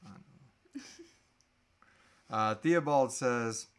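A man in his thirties hosting a casual live question-and-answer chat, often halting and trailing off mid-thought. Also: mid-range voice, smooth. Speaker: host answering audience questions. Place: small indoor room.

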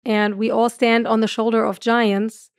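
Earnest German-accented woman, bright voice. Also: a little high, level tone, a little slow, no room sound, clean studio sound. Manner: earnest, gestures a lot, laughs often. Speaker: guest astrophysicist. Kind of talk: podcast conversation. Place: room with curtains. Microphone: studio mic on boom arm.

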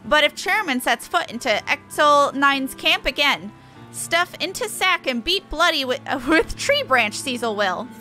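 Woman voicing a squawky, bright birdlike creature. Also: sits high, sing-song, measured pace, faint music behind, exaggerated voice, poor audio. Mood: threatening. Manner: squawking, speaks in inverted sentences. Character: gruff, broody birdlike beast-tribe creature with inverted grammar.

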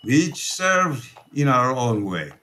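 A guarded, reproachful immigrant priest from Poland in a radio play. He speaks slowly at an ordinary male pitch. His phrasing is measured and formal. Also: very animated, bright, forceful tone, heavily acted. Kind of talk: radio drama acting.

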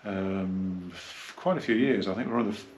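A man gives a casual, unscripted hi-fi review, rambling and halting as he reminisces. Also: low voice, a little hiss or hum. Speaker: hi-fi dealer and reviewer. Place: small carpeted indoor room, seated.

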